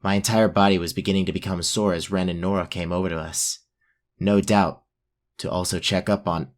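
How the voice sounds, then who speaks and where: A man's voice: low-pitched, fairly even intonation, fairly quick, natural voice. A man playing a brave but self-doubting young fantasy warrior, narrating his own story in an audio drama. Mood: earnest narration.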